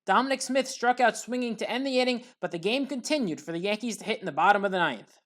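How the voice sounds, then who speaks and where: A woman with a fairly high voice narrates sports highlights. She reads scripted play-by-play as a commentator recapping the action. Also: animated, quick pace, fairly bright tone.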